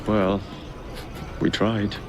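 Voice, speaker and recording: Cocky low-voiced young rogue. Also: male voice, level tone, drawn-out words, highs cut off. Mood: breezy. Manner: flippant, teasing. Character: cocky young male Victorian London assassin, wisecracking. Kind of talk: video game cutscene acting.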